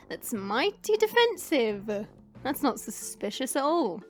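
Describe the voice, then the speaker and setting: Woman acting a high, cheeky young adventurer. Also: big pitch swings, slow pace, soft background music, heavily acted. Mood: teasing. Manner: teasing, playful. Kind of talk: audio drama banter.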